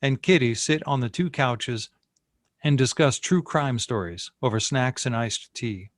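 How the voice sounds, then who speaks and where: A man's voice: medium pitch, a little slow. A man reading stage directions as narrator at a screenplay table read. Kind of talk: scripted narration.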